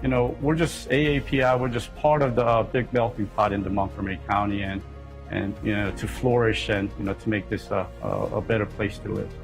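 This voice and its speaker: Older immigrant man, plain-spoken and halting. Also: on the low side, level tone, gravelly, soft background music. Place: restaurant.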